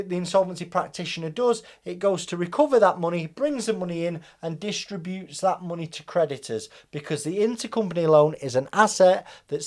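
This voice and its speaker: Higher-pitched British man explaining informally. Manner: conversational, checks in with "right?" and "okay?". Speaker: business advice presenter.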